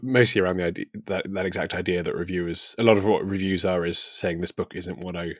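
A man's voice: low-pitched, steady tone, fast, a bit bright, no room sound, clean studio sound. A man in casual book discussion, reflective and thinking aloud as a podcast host.

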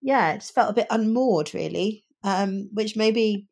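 A woman chats casually about books as a podcast co-host. Her voice sits at an average female pitch. She is reflective and tentative, working out her opinion as she speaks. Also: unhurried, vocal fry, a little mellow. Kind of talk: casual book discussion.

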